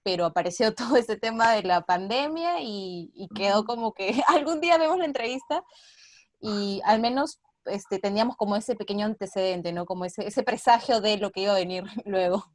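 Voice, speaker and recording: A woman's voice: medium pitch, animated, normal speed, no room sound, clean studio sound. A woman with a Peruvian accent telling an anecdote casually as an interview guest, informally acting out bits of quoted dialogue. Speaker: interview guest, radio drama team member. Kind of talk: casual chatty storytelling.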